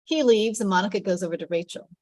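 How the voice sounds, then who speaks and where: A woman's voice: medium pitch, quick pace, darkish tone, no echo, studio quality. A woman reading stage directions as scripted narration in a table read.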